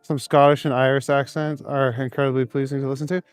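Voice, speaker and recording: A man chats through a live stream from cold city streets, answering his viewers as he goes. His voice sits at an ordinary middle pitch, and he chuckles and rambles easily between comments. Faint music plays behind him. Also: level tone, fast, clean tone, dry recording. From Canada. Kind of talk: casual live stream, reading chat aloud.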